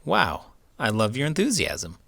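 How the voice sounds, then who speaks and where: A man's voice: medium pitch, wildly swooping pitch, unhurried, gravelly, fairly bright tone. A man hosting a children's show, reading a picture book aloud expressively with big emotions. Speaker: children's book reader and host. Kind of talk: expressive storybook read-aloud.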